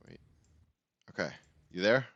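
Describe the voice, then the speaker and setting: A man's voice: measured pace, a bit bright, no room sound, dull audio. A man hosting a casual tabletop gaming talk show. He speaks in a loose, rambling way and laughs often. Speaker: podcast host. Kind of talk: casual chat.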